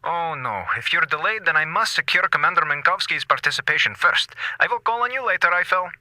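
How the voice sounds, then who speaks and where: An actor playing a polite, insistent space station doctor with formal, precise phrasing in a radio drama. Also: male voice, a little high, animated, brisk, noisy background, natural voice. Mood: resigned, brisk.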